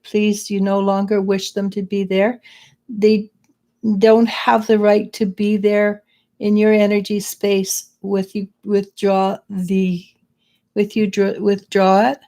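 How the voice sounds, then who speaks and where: Middle-aged woman psychic host, gravelly, mellow, hoarse from losing her voice, rambles and restarts. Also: mid-range voice. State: losing her voice, clearing throat. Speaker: psychic reader and show host. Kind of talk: live-stream spiritual advice chat.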